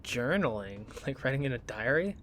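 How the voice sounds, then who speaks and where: A man's voice: mid-range voice, big pitch swings, normal speed, fairly bright tone, a little hiss or hum. Man acting a pushy, teasing young student. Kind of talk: naturalistic audio drama acting.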